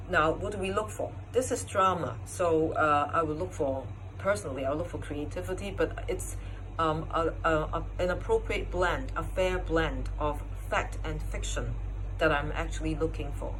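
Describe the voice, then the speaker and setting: Woman, competition judge. Low-pitched, explanatory, stop-and-start delivery, with faint background noise. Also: rough recording. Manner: explanatory, halting, searching for words. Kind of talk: spoken feedback talk to contestants.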